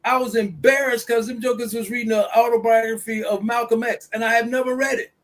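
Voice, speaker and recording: A man holds forth in an easygoing discussion. His voice sits high for a man. He circles back and repeats his key phrases with a preacher's rhythm. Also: little pitch movement, a little fast. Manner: preachy, repeats points for emphasis. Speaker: guest speaker. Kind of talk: casual discussion.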